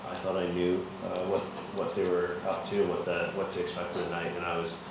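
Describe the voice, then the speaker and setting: Deep-voiced halting councilman. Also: monotone. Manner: halting, many ums and restarts. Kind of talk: report at a meeting. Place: council chamber. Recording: echoey council chamber, far mic, noisy.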